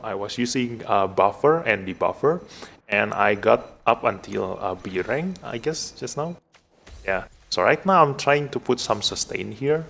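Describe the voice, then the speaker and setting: A man's voice: medium pitch, vocal fry, fairly bright tone. Indonesian-accented man commentating on a game. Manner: halting, thinking aloud. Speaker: game streamer. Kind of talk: casual live gameplay commentary.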